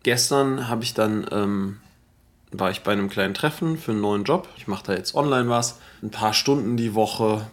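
A man recording a casual spoken diary, pausing to think as he recounts his days. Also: on the low side, a little hiss or hum.

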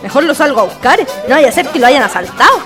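A woman's voice: high-pitched, big pitch swings, fast, music in the background. A woman playing a worried, scolding young sweetheart with a Chilean accent in a radio drama. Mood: concerned.

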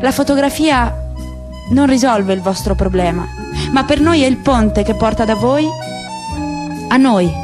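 A woman voicing a grieving middle-aged Palestinian father in a radio drama reading, speaking in a soft, measured, earnest way. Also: fairly high pitch, natural voice. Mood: pleading. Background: music.

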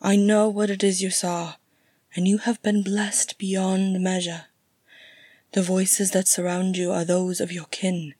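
A gentle, mysterious elf lady of a fantasy tale, as a woman reader acts her out. Her voice is soft and breathy, her phrasing slow and formal. She sounds serene and soothing. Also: mid-range voice, steady tone, no room sound. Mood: gentle, solemn.

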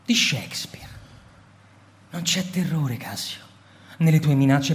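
A cold, mocking fire captain, played by a man in a radio drama. He sneers and taunts with theatrical menace, in a somewhat high voice. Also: a little mellow, lightly acted. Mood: taunting.

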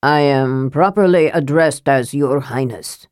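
A woman's voice: very deep voice, unhurried, a little mellow, no room sound, studio quality, voice pushed down. A woman voicing a pompous knight intoning. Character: young male knight, suddenly pompous and regal. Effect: muffled, as inside a helmet.

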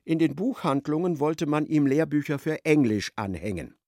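A man narrating a radio play in an expressive, literary storytelling style. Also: fairly high pitch, normal speed, no echo, studio quality.

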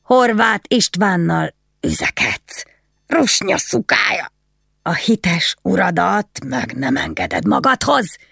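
A woman's voice: lowish voice, vocal fry, no room sound, studio quality. A woman voicing a drunken, mocking middle-aged nobleman who bellows and slurs his words. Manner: slurred, bellowing, sneering.

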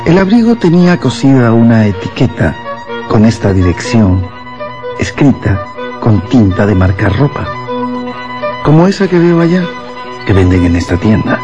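An actor playing a courteous police inspector in a radio drama, probing politely and a little hesitantly, over music. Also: male voice, mid-range voice, very animated, normal speed, long pauses, highs cut off, natural voice. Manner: polite, hesitant, circling his questions.

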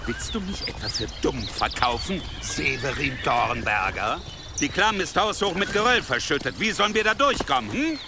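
An actor playing a menacing, commanding leader of medieval horsemen in an audio drama, imperious and threatening. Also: male voice, a little high, sing-song, normal speed, noisy background. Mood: suspicious, irritated. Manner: imperious, threatening, barking orders.

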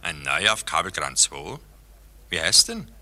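A man's voice: low voice, big pitch swings, unhurried, pushed and bright, exaggerated voice. An actor playing a hearty, plucky construction signalman in a radio drama. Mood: businesslike.

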